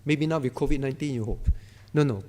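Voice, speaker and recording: A man's voice: mid-range voice, quick pace, warm tone, a little hiss or hum. A male pastor, preaching a sermon in a conversational way and often turning to the congregation. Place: church hall. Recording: reverberant church hall.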